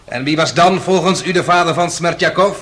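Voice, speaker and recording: A man's voice: a little high, fairly quick, a bit bright, natural voice. An actor playing a sly male defence lawyer in a radio drama, probing and theatrically mocking.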